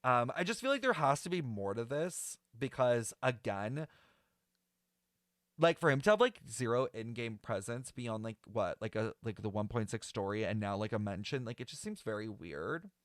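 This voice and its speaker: A man giving casual, rambling reaction commentary in a chatty, slangy, excitable way. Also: medium pitch, normal speed, no room sound, clean studio sound. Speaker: reaction streamer.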